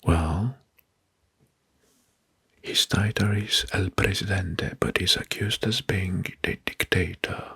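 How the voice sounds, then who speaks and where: A man narrating soft-spoken ASMR in a breathy, husky whisper, deliberately repeating names and phrases. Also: low voice, steady tone. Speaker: host of an ASMR history channel.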